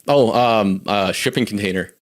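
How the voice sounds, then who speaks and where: Man, Canadian accent, forties, lowish voice, candid, reflective, casual storytelling. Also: moderate pace. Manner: candid, reflective, frequent restarts. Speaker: podcast guest.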